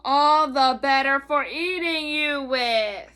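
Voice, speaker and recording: Woman doing a high, wobbly wolf posing as grandma. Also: takes it slowly, pushed and bright, faint music behind, exaggerated voice. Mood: menacing. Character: greedy male wolf impersonating a sick old grandma.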